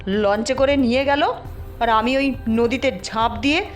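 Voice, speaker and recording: A woman's voice: a little high, moderate pace, soft background music, lightly acted. An actress playing a desperate young mother in an audio story, anxious and pleading. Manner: pleading, agitated. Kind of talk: Bengali audio story acting.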